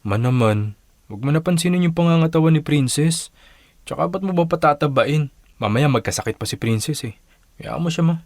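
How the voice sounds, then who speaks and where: An actor playing an embarrassed, protective young Filipino son who complains mildly in a radio drama. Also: male voice, fairly high pitch, expressive, fairly quick, darkish tone, no echo. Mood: exasperated, protective.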